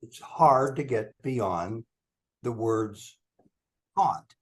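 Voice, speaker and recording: A man in his seventies leads a discussion group over a video call, asking questions in a chatty, easy way. His voice sits at a middling pitch. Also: lively intonation, drawn-out words. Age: 70s.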